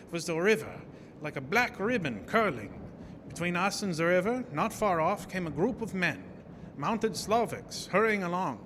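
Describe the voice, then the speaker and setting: Solemn old vampire hunter in reverb. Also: male voice, high-pitched. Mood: grave narration. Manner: solemn, halting foreign phrasing. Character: old Dutch professor and vampire hunter, grave, commanding. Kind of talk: live radio horror drama acting. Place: small theater stage. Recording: noisy live stage broadcast, long hall reverb.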